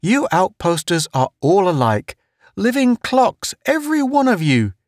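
A man acts out a cheerful, wisecracking spaceman who loves to needle his pals. The voice is pitched high and swoops about with gleeful, knowing mischief, like a storybook joker, in a dry, close recording. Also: huge pitch swings, unhurried, no echo, full character voice. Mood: gleeful teasing. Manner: gleeful, teasing, folksy wisecracking. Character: jovial, needling, giggly spaceman pal, personal affairs officer. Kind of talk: audiobook character voice.

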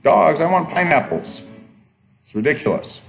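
A man's voice: a little high, sing-song, moderate pace, creaky, a bit bright, a little hiss or hum. A man giving a recorded college lecture, talking casually and thinking aloud as he clicks through examples on screen.

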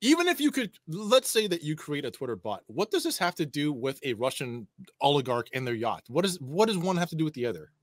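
A man's voice: on the high side, very animated, fairly quick, no room sound, studio quality. A man co-hosting a talk show on a video call, ranting casually in an exasperated, sweary way.

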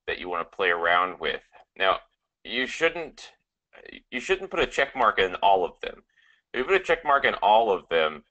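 A man explaining software settings step by step, casual and full of fillers. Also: low-pitched, highs cut off. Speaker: webinar co-host.

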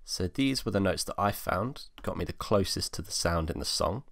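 A man in his twenties with a low-pitched voice. He hosts an instructional screencast, explaining each step in order as a tutor would for beginners. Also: lively intonation, moderate pace, gravelly, a bit bright. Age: 20s. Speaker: music production tutorial host.

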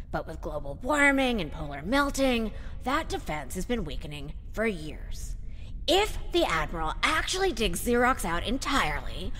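A woman's voice: fairly high pitch, fairly bright tone, a little hiss or hum. A woman playing an eccentric, defensive genius inventor in an audio drama, explaining things in a dramatic, declaiming way. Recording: long hall-like reverb.

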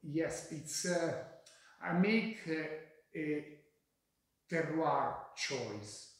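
A middle-aged man with an Italian accent speaks slow, halting English, stopping often to search for words. His voice sits fairly high for a man. It rings with strong echo from a bare room and is picked up by a distant camera. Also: lively intonation. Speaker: winemaker. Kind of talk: interview answers. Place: bright kitchen-like tasting room, indoors. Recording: very echoey room.

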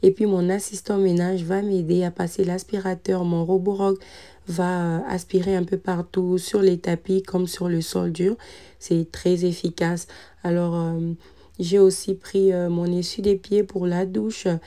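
A woman in her thirties, a mother, narrating a cleaning vlog in a calm, relaxed voice-over with frequent hesitant fillers. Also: fairly low pitch, measured pace. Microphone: voice-over recorded separately.

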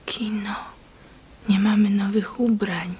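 An actress playing a meek, devout fisherman's wife in a radio play, in a breathy near whisper. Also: medium pitch, fairly even intonation, slow pace, dark tone, poor audio. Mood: meek, hushed. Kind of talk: radio drama acting.

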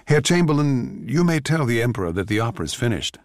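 A man playing an excitable, cocky, childlike young composer with a gushing, emphatic way of talking, in a dramatic play reading. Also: mid-range voice, quick pace, no echo, clean studio sound, lightly acted. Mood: breezy.

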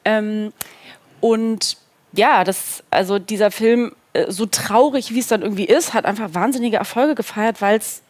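A woman's voice: medium pitch, measured pace, dry recording. A woman in her thirties talking casually as a talk-show guest, informal and often correcting herself mid-sentence. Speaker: guest, film producer. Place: indoor talk-show studio. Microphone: headset microphone.

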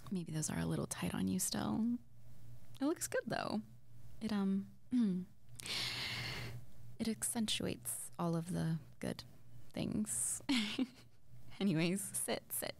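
Woman acting a slow, breathy, flustered young witch. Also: mid-range voice, mellow. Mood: playful, flustered. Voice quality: breathy, often dropping to near-whisper. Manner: hesitant, stammering, giggly. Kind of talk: intimate girlfriend roleplay ASMR audio.

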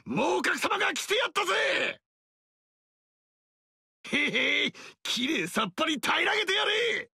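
A boisterous barbarian king roars his boasts and battle cries. His voice is high, gravelly and bright, and it swings wildly up and down. The video game recording is dry and close. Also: big pitch swings, measured pace, long pauses, dry recording, exaggerated voice. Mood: brash boasting. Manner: gruff rough-speech shouting battle cries. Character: boisterous burly barbarian king, brash and loud.

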